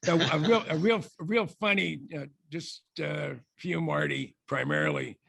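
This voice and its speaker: Older man with a raspy gravelly voice. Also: on the high side, fairly bright tone, no room sound. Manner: halting, many restarts and fillers. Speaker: guest speaker. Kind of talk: rambling anecdotal presentation.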